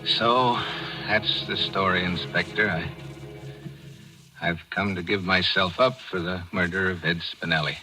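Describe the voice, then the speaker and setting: Low, forceful hard-boiled hero. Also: male voice, fairly even intonation, unhurried, faint music behind, exaggerated voice, rough recording. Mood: tense, insistent. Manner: urgent, clipped, hard-boiled. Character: intense, vengeful hard-boiled man on the edge. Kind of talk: 1940s radio thriller acting. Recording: old, harsh radio drama recording.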